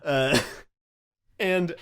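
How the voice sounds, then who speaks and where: A man's voice: on the high side, very slow, a bit bright, smooth. A man co-hosting a casual behind-the-scenes chat, swearing freely and running himself down as he talks about directing. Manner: profane, self-deprecating. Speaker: podcast co-host and audio play director.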